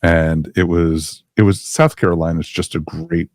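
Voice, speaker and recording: A man's voice: low-pitched, steady tone, moderate pace, darkish tone, no echo, clean studio sound. A man hosting a podcast in casual chat, rambling with lots of fillers. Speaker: podcast host.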